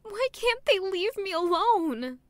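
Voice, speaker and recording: A woman playing a sweet, lovestruck, put-upon young maid in an audio drama, gentle and expressive as she acts and narrates. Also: extremely high pitch, slow, full character voice. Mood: upset. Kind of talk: audio drama acting with first-person narration.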